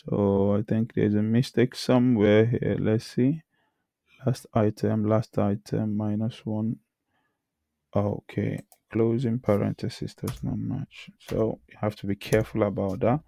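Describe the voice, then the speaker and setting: A hoarse man who says he has lost his voice, teaching programming and talking through the code he types, often clearing his throat. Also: on the low side, flat delivery, measured pace, dark tone, no room sound. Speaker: programming tutor. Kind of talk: screen-recorded coding tutorial.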